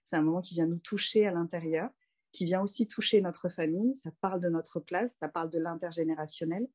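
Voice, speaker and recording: A woman in her thirties from France, a doula speaking as a guest in a relaxed interview, thinking aloud with frequent fillers and restarts. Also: lowish voice, fairly quick, slightly rough. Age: 30s. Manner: reflective, many fillers and restarts. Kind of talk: casual conversational interview.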